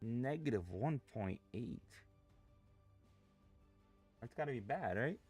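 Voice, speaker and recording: A man's voice: medium pitch, lively intonation, slow pace, darkish tone, breathy edge, faint music behind. A man streaming live trades, talking casually, restless and impatient, swearing freely as he talks. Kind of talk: casual live trading commentary.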